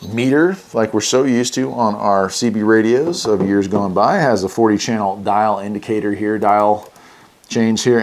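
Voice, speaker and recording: An American man hosting a casual product unboxing and review, talking in a folksy, enthusiastic way. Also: fairly low pitch. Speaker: radio review host.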